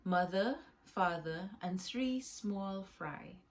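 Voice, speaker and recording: A woman's voice: mid-range voice, animated, extremely slow. A woman reading her own children's book aloud as a storyteller, with short asides to the listener.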